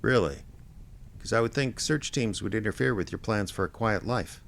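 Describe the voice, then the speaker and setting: Casting: male actor as deep-voiced American gumshoe for radio play, wry, plainspoken, persistent, clear noise in background. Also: moderate pace, fairly bright tone. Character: wry, persistent male small-time private investigator. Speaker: lead radio drama actor. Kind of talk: audio drama dialogue and first-person detective narration.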